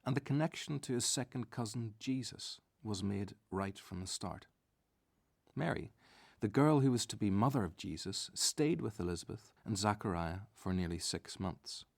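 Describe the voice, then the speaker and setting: A man's voice: fairly low pitch, expressive, normal speed, creaky, dry recording. A man narrating a scripted radio drama as a storyteller.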